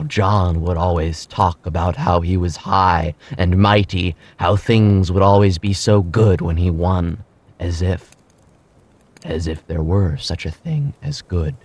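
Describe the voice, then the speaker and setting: An actor playing a ruthless, loyal follower in an audio drama, brooding and deliberate, with emphatic pauses. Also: male voice, deep voice, darkish tone, slightly rough, heavily acted. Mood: bitter, brooding. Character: ruthless, loyal male follower recounting a strongman's rise.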